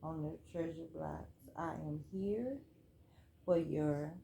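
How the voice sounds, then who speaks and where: A low-pitched woman with a gravelly, mellow voice, talking slowly and easily. She is reading spiritual cards aloud in a casual home vlog. A faint hiss of room noise sits under her voice. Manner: relaxed, chatty, reads cards aloud. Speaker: spiritual card reader.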